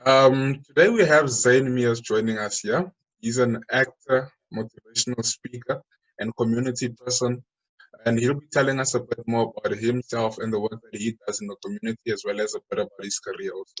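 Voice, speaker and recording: A man with a South African accent, co-hosting a conversational interview and introducing the guest. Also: medium pitch. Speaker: interview co-host. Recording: slightly dull, lo-fi sound.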